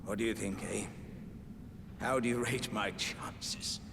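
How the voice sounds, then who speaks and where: Slow, booming-hall jovial warrior creature. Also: male voice, steady tone, creaky. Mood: earnest, proud. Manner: chummy, theatrical, deliberate. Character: jovial, hearty living warrior vessel dreaming of glory. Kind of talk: scripted fantasy game dialogue. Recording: reverberant, loud ambient rumble behind.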